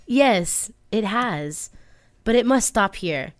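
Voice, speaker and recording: A woman's voice: medium pitch, slow pace, dry recording. Girl doing a sensible 40s radio play woman resisting romance, restrained, reads it off the page, dry sound. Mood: firm, restrained. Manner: flat, read from a script. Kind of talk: amateur radio play reading.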